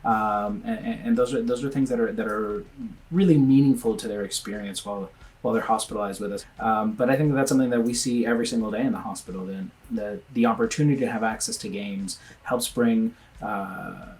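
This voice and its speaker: A man's voice: lowish voice, fairly quick, a little mellow, low-quality recording. A man from Venezuela who is a pediatrician, answering interview questions earnestly and thinking aloud, often restarting his sentences. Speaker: pediatrician and guest.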